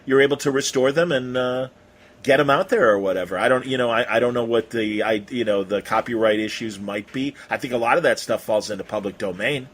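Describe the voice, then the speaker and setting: A man's voice: medium pitch, fairly quick. A middle-aged American man hosts a casual interview in an ordinary mid-range voice. He is enthusiastic and informal, and he tends to ramble as he works toward a question. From Chicago, United States. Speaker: podcast interviewer.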